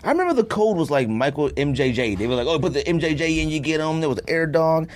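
A man in a casual podcast conversation, his pitch moving around a lot. He talks in slang and repeats points emphatically. There is some background noise on the recording. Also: expressive, fast. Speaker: podcast co-host. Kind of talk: casual banter about games and nostalgia.

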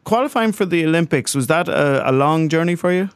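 A man hosting a radio sports show from the studio, bantering easily and asking quick questions. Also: fairly high pitch, expressive, clean tone, no echo. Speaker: radio host.

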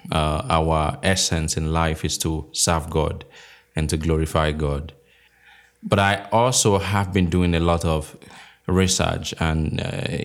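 A man from Nigeria, a novelist in his thirties, answering interview questions in a measured way with many fillers. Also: rumbling low. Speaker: novelist guest.

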